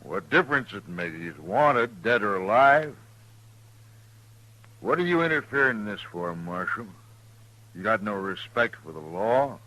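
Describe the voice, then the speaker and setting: Casting a vengeful, smug lawman for radio drama; very low, hard-edged, gruff. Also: animated, unhurried, long pauses, bright, forceful tone, rough recording. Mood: smug, indignant. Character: smug, hard, vengeful corrupt frontier sheriff. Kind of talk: 1950s American radio western acting.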